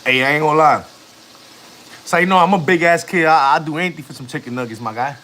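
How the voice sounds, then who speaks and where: A man's voice: on the high side, fast talker, sharp tone, slight background noise. A man in his thirties, a family vlogger, chatting casually on a live stream in slang, rambling and chatty. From United States. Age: 30s.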